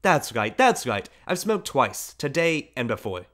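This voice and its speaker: A man reading aloud, voicing a gentle seven-year-old boy in a soft, high, pleading voice. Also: sing-song, measured pace. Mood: sheepish.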